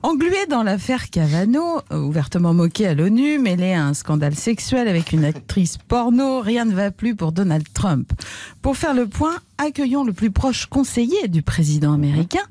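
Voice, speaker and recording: A woman's voice: mid-range voice, big pitch swings, normal speed, warm tone. A woman co-hosting on radio, playing the straight interviewer in a scripted comic exchange. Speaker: radio co-host, straight partner.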